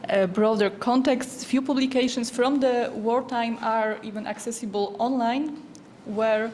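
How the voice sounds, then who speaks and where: A woman whose first language is Polish, asking a formal question at an academic workshop, pausing carefully with fillers. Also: on the high side, steady tone, darkish tone, slight background noise. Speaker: workshop participant.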